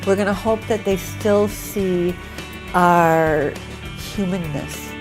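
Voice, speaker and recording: A woman's voice: fairly low pitch, takes it slowly, over music. A middle-aged woman, an author and parent, speaking candidly and conversationally in an interview.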